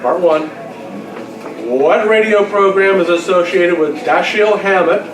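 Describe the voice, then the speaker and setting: Guy hosting a trivia quiz, kinda high voice, jokey and teasing, echoey noisy room. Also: a little slow. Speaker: quiz master. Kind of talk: reading trivia questions to a live group with casual banter. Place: large indoor room at a club luncheon. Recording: roomy, noisy hall, far from mic. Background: room noise from a gathered group.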